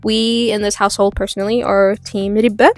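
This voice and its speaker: A woman's voice: mid-range voice, flat delivery, moderate pace, no room sound. A young woman with an American accent, talking casually and chattily about her drawing and holiday traditions in an art vlog voice-over. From born in the US, raised in Norway. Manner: chatty, laughs often, rambling asides. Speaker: art channel host. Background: soft background music.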